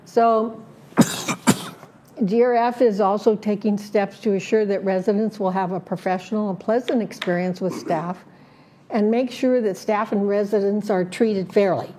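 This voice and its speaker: A woman serving as a community board director, laying out her plans in an interview, listing points slowly with many fillers and restarts. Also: medium pitch, fairly even intonation, mellow, a little hiss or hum. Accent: Southern Californian. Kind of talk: prepared statement in an interview.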